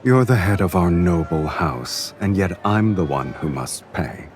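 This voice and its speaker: A man's voice: deep voice, expressive, a little hiss or hum. A man playing a self-assured fantasy nobleman in a romance game, speaking with dry, teasing ease. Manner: unhurried, dryly teasing. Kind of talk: scripted game romance acting.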